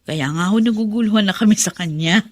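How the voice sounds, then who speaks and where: A woman's voice: mid-range voice, brisk, no room sound, natural voice. An actress playing a weary, dutiful middle-aged mother in a radio drama. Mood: worried, puzzled. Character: weary dutiful mother caring for her ageing father.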